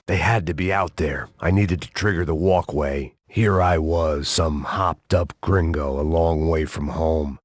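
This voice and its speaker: An actor playing a weary, hard-drinking middle-aged ex-cop in a game, narrating in a deadpan, sardonic noir monologue. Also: male voice, extremely low pitch, slightly rough, no echo. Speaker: game protagonist.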